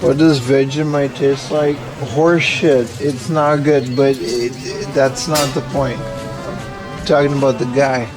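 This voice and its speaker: A man's voice: medium pitch, fairly even intonation, slow, over music, poor audio. A man livestreaming, chatting casually with viewers in a slangy, rambling way as he thinks aloud. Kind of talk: casual chat with live stream viewers.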